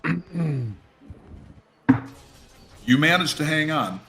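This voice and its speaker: A man works as game master, narrating slowly in a roomy, echoey space. He feels his way through the scene out loud and swears casually, with music playing underneath. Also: a little high, animated. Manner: halting, thinking aloud, casually profane. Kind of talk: improvised narration of a tabletop game. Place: indoor room on a live stream.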